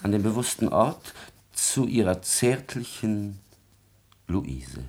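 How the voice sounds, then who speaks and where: An actor playing a cold, calculating secretary, measured and insinuating, in a classical radio drama. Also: male voice, fairly low pitch, very animated, a little mellow. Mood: cold dictating. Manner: measured, insinuating, falsely kind. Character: cold, scheming male secretary, oily and calculating.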